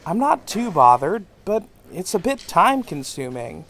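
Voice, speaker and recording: A man's voice: sits high, sing-song, normal speed, fairly bright tone, heavily acted. A man playing a laid-back, teasing male English professor who chats easily with a colleague. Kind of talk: audio drama acting.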